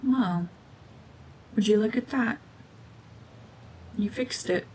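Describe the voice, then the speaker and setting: A woman voicing a cold, mean, world-weary cyborg witch and war veteran in a hushed, breathy, brooding, deliberate ASMR drama monologue. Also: medium pitch, slight background noise, lightly acted. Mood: guarded, slightly softening.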